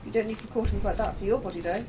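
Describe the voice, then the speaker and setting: An actress playing an anxious, sceptical astrobiologist in a horror audio drama, terse and questioning. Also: female voice, mid-range voice, racing, distant mic, background noise, close to an everyday voice. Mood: uneasy, curious.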